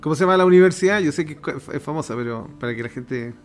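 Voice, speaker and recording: A man's voice: a little high, lively intonation, fast talker, over music. A young man hosting a youth podcast, upbeat and chatty, laughing easily with his co-hosts. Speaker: podcast host. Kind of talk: podcast interview.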